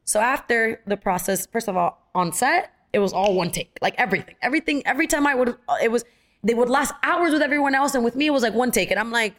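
A woman's voice: fairly high pitch, fast. A young adult woman, an actress, telling stories in a casual interview and acting out the lines of people she quotes. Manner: animated, acts out quoted dialogue, many fillers. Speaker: actress guest.

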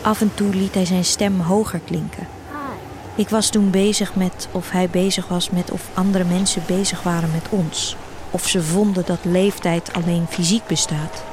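A woman's voice: on the low side, steady tone, normal speed, noisy background. A woman reading a literary first-person story as the narrator of an audio drama. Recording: music behind the voice. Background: music.

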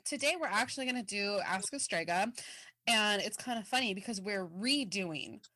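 Woman podcast co-host, casual and rambling, mid pitch, dry close mic. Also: expressive, normal speed, bright, forceful tone, dry recording. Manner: chatty, filler-heavy, laughs easily. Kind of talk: casual podcast chat, reading listener mail.

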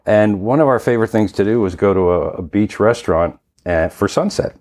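Deep-voiced man, podcast co-host, anecdotal. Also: lively intonation, brisk, darkish tone. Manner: storytelling, wraps up discussion. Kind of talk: casual podcast discussion.

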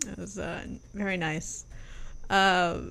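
Woman host, gravelly fry, casual music review, swears freely. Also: fairly low pitch, takes it slowly. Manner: relaxed, swears casually, many fillers.

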